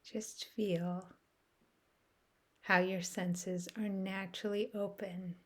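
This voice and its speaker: Slow-paced woman guiding a meditation. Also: medium pitch, little pitch movement. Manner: soft, soothing, measured phrasing with long pauses. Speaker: meditation guide and host. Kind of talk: guided meditation.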